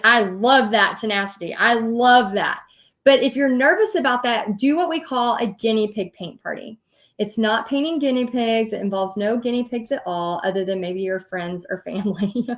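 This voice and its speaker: Chatty Texan woman, high voice. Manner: chatty, direct, laughs often, addresses viewers. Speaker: host teaching paint party business. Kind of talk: casual livestream coaching talk.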